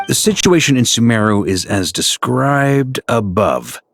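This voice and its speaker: A man's voice: medium pitch, lively intonation, unhurried, gravelly, fairly bright tone, faint music behind. An actor playing a tired, grave male official in a game. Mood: weary.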